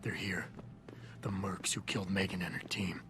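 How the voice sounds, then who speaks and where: An actor playing a grim augmented security operative in a video game, terse and controlled. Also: male voice, sits low, fairly even intonation, moderate pace, bright, forceful tone. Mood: urgent, hushed. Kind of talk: video game cutscene acting.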